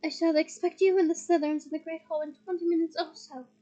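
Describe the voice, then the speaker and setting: A woman's voice: extremely high pitch, level tone, quick pace, mellow, dull audio. A woman voicing a stern, commanding elderly witch in a storybook reading. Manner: commanding, clipped.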